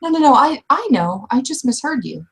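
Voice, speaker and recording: A woman's voice: high voice, big pitch swings, fairly quick, noisy background. A woman in a casual tabletop roleplaying session, speaking conversationally both in character and as game master.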